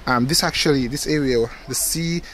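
A man's voice: mid-range voice, moderate pace, slight background noise, poor audio. A young man, casually explaining how he grows plants while standing outdoors in his backyard garden, close to the camera. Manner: relaxed explanatory, points at the plant as he talks. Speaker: gardening channel host. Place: outdoor backyard garden beside a hoop house. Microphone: camera close up at face level.

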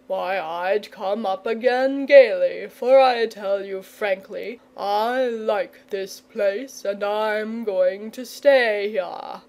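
A languid storybook dragon, performed by a woman, fussy and coy, with airs of refinement. He draws his words out very slowly, in no hurry to be persuaded. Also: mid-range voice, darkish tone, poor audio. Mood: gaily stubborn. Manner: drawling, leisurely, testy when pressed. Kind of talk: storybook character reading.

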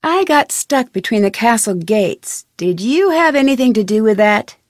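An actress playing a curious, polite young female detective in an adventure game, asking questions in a clear, inquisitive way. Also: a little high, animated, normal speed, no room sound, clean studio sound, close to an everyday voice. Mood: friendly, curious. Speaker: video game protagonist. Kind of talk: scripted adventure game dialogue. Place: studio.

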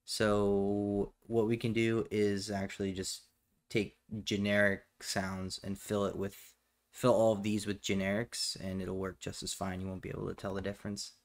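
A man narrating a step-by-step software tutorial in a matter-of-fact, instructional way. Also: lowish voice, completely flat delivery, moderate pace. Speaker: tutorial presenter.

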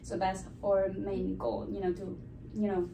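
A woman's voice: mid-range voice, flat delivery, unhurried. A young woman from Cuba, a classical guitarist, answering interview questions eagerly with many fillers. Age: young adult. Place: small room with a framed map on the wall. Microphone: stand mic, filmed by a far camera. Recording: roomy, distant, noisy.

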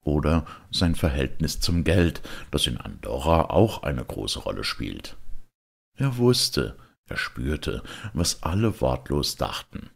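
A man giving an expressive, deliberate reading of a literary text, with emphatic pauses. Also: a bass voice, gravelly, husky.

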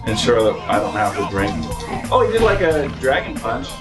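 A man riffing on a video game from a couch, his voice swinging wildly up and down as he curses and laughs. The room rings with echo, and game music plays clearly behind him. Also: mid-range voice, normal speed. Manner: excitable, swearing, laughing, teasing. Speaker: co-host playing along. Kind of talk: casual let's-play commentary. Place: indoor room, seated on a couch.

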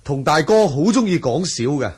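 A humble young wuxia swordsman in an old Cantonese radio play. His Hong Kong accented voice is husky and lively, always polite and deferential. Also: a little high, moderate pace. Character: modest, respectful young swordsman. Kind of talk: 1980 Cantonese radio drama acting.

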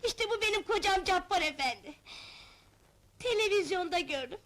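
Shrill, stubborn middle-aged wife. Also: female voice, expressive, normal speed, a bit bright. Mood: pleading, fond. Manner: theatrical, scolding and wailing. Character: stubborn, hot-tempered wife hunting her lost husband. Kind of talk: broad comedy film acting.

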